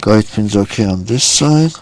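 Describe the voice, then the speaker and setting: A man's voice: on the low side, animated, unhurried, background noise. A man narrating an informal hands-on repair tutorial, giving careful step-by-step instructions and sometimes muttering to himself. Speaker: repair demonstrator.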